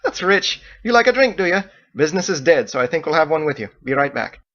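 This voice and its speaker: A man voicing a lively, delighted woman innkeeper in an audiobook story, with folksy, exclamatory chatter. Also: on the high side, quick pace, lo-fi sound, pitched above the performer's own voice. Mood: chatty.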